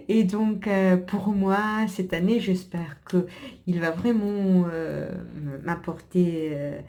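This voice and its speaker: A woman's voice: mid-range voice, extremely slow, darkish tone. An Italian woman, a native Italian speaker, hosting her own podcast in casual, hesitant chat full of fillers, restarts and small laughs. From Italy. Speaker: podcast host.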